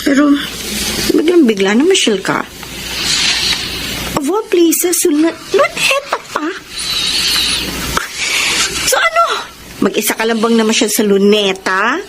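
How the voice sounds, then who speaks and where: A woman's voice: high-pitched, huge pitch swings, slow, long pauses, very noisy, heavily acted. An actress playing a vain, jealous young girlfriend with a suspicious, mocking manner in a Tagalog radio drama.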